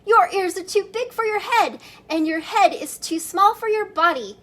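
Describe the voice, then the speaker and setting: Excitable kitten boy, squeaky and high, playful storybook read-aloud, voiced by a grandmother. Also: moderate pace. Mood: amazed.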